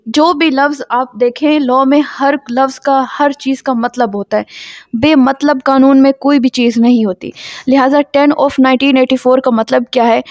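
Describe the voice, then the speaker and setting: A woman's voice: high-pitched, darkish tone, no echo, highs cut off. A woman with a Pakistani accent giving a law lecture in a didactic way, explaining clauses and posing questions to her students. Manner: didactic, poses questions and answers them. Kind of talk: scripted-outline lecture explaining a statute, reading clauses aloud.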